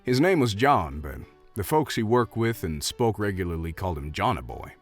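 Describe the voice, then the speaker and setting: A chatty saloon bartender of the Old West, speaks. His pitch moves around a lot as he talks in a folksy, soft-hearted, slightly halting way, as in an audio drama with faint music underneath. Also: big pitch swings. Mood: gossipy, regretful. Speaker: voice actor. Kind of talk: audio roleplay acting addressed to the listener.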